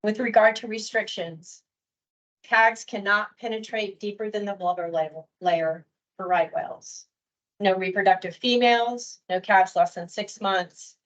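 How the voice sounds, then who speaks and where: Woman presenting permit rules, lo-fi. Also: mid-range voice. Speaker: permitting official presenting at a workshop. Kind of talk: prepared presentation.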